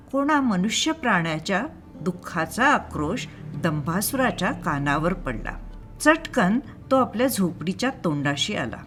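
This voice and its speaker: A woman narrating a scripted moral story in a measured, expressive storytelling manner. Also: mid-range voice. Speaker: storyteller and channel host.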